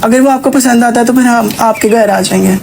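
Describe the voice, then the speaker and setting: A woman's voice: fairly high pitch, brisk, gravelly. Woman acting a coaxing well-off auntie, noisy background. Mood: probing, coaxing. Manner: auntie-like, persuasive, addresses listener as child, English words mixed in. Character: well-off mother from UK seeking a bride, coaxing. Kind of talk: acted prank phone call.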